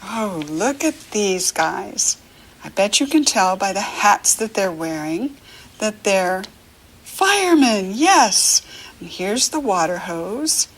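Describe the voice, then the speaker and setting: Slow, husky American woman teaching children. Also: medium pitch. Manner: encouraging, asks questions and leaves room to answer; animated, sing-song child-directed talk. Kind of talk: read-aloud picture-book lesson for young children.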